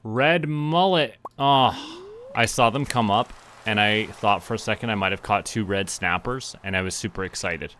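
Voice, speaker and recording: A man's voice: fairly low pitch, moderate pace, a bit bright, slight background noise. A man giving casual live game commentary, talking to himself and his viewers as a streamer. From Canada.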